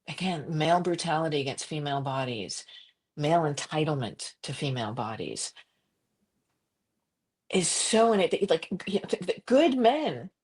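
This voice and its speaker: A middle-aged woman from Croatia, a journalist guest talking passionately in an interview, with frequent restarts and quick laughs. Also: breathy edge. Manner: animated, impassioned, jumps to high pitch for quoted voices. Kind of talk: conversational interview.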